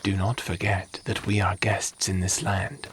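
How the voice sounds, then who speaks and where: A man playing a dignified, deep-voiced god. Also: little pitch movement, background noise. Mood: gently stern. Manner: formal, measured, gently admonishing. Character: Tyr, calm, dignified, diplomatic male god. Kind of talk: audio roleplay acting.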